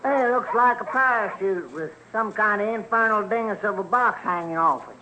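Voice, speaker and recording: An actor playing a folksy country husband in a radio drama, drawling in a homespun, deliberate way. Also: male voice, high voice, noisy background, lightly acted. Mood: puzzled, plodding. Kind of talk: old-time radio documentary acting.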